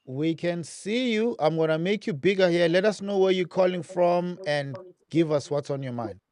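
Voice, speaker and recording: Brisk male host moderating callers. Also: high-pitched, darkish tone, no room sound. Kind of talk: moderating a live call-in stream.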